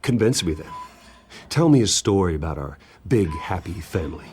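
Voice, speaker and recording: An actor voicing a brooding, guarded young billionaire in a video game, speaking in a quiet, clipped, restrained way. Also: male voice, low-pitched, sing-song, normal speed. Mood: cold.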